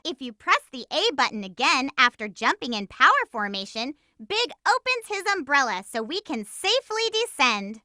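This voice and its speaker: Sassy lovestruck hedgehog girl from a video game, girly high voice, bouncy pitch, peppy and emphatic. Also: dry recording, clean studio sound, full character voice. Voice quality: girlish, bright. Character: perky, feisty, lovestruck young cartoon hedgehog girl. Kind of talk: animated video game character lines.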